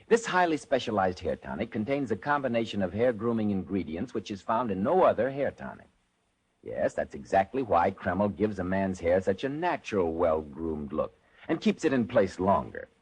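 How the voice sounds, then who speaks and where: A man's voice: lowish voice, sing-song, normal speed, breathy edge, poor audio. An American man announcing as a 1940s radio program host, in a polished, emphatic, salesmanlike style.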